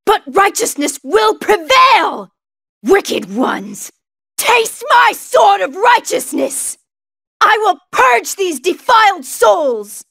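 A woman's voice: very high-pitched, sing-song, slow, creaky, fairly bright tone, no room sound, studio quality, heavily acted. A woman voicing a zealous, righteous female knight in a video game, fervent and formal in her declamation. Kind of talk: video game villain acting.

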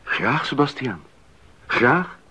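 A male actor playing a respectful, devoted poet in a radio play, speaking in a deferential, measured way. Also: mid-range voice, big pitch swings, sharp tone, slightly rough, exaggerated voice, low-quality recording. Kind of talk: 1980s radio play acting. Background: music.

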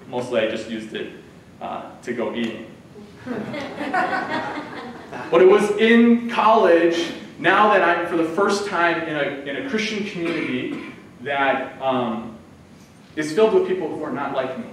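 A man's voice: medium pitch, slight background noise. A man in his thirties gives a conversational sermon as a guest preacher, using his hands as he talks. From United States. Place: church sanctuary, indoors. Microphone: far camera. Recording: echoey church hall from far off.

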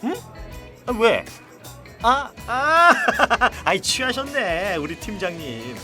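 A man's voice: sits high, huge pitch swings, slow, pushed and bright, exaggerated voice. An actor playing a jovial, tactless male office colleague in a Korean audio drama. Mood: awkward. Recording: prominent background music.

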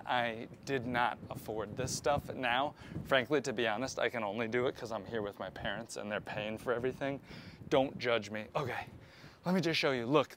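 A man's voice: medium pitch, a bit bright, slight background noise. A man in his thirties giving a chatty, upbeat walking tour of a cruise ship, talking to a camera held at arm's length. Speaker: cruise vlogger. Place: cruise ship interior and decks. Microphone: handheld camera at arm's length.

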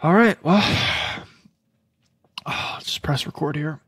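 A man's voice: a little high, slow, fairly bright tone, husky, dry recording, full character voice. A man playing a weary, defensive struggling musician in an audio drama, hesitant and stammering. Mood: dismayed, resigned. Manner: hesitant, stammering, sighing resignation. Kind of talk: naturalistic audio drama dialogue.

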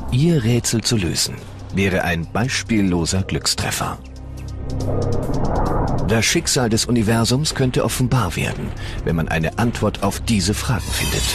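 Male documentary narrator, low register, measured and solemn, music bed underneath. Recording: voice-over with loud music behind.

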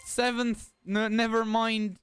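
A man's voice: sits high, flat delivery, slow pace, a bit bright, clean tone, soft background music. Chatty young man streaming. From Bulgaria. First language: Bulgarian. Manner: chatty, repeats words, confused asides. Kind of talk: casual gameplay commentary.

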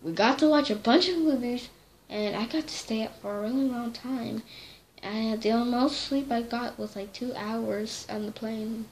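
A young teen girl talks to her camera in a small bedroom at night, rambling from one thing to the next and fixing her own words mid-sentence. Her voice sits a little high and she takes her time. A faint hiss lies under the close device recording. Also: measured pace, rough recording. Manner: rambling, chatty, self-correcting. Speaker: vlogger. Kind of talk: casual chat to camera. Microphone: device camera held close.

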